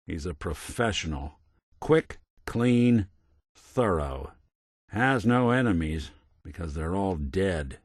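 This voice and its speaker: A weary old synthetic gumshoe with a low, expressive voice that rises and drops freely. He is wry and loyal, talking in slangy hard-boiled noir fashion. The game recording is dry and close, with no room around it. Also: no room sound, heavily acted. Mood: grave. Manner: wry, world-weary, slangy detective talk.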